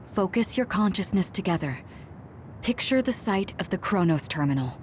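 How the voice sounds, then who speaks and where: A woman playing a calm, supportive young female guide in an English game dub, over music. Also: mid-range voice, moderate pace, a little hiss or hum, natural voice. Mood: focused.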